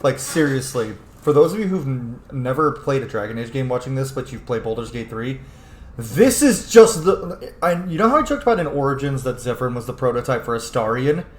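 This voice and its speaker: A man's voice: mid-range voice, very animated, a little fast, a little mellow. A man giving casual, opinionated game commentary as he plays, swearing freely. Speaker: gaming streamer playing and commenting. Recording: roomy echo with faint noise.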